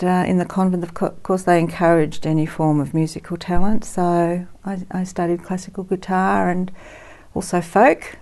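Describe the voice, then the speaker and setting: A woman, a guest in a TV studio interview, talking warmly and reflectively with frequent pauses. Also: fairly low pitch. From Netherlands, raised in Australia. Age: 60s. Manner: warm, reflective, frequent ums and soft laughs.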